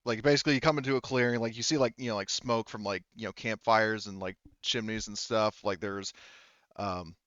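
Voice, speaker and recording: Male game master, clear smooth tone, informal hesitant improvised narration, dry and slightly muffled recording. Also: on the low side, fairly even intonation, brisk, fairly bright tone, no room sound. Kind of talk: casual improvised tabletop narration.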